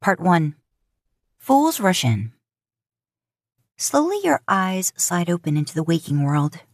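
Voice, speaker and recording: A woman narrating scripted romantic fiction in a measured, expressive storytelling manner. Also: fairly low pitch, no echo, studio quality. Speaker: podfic narrator.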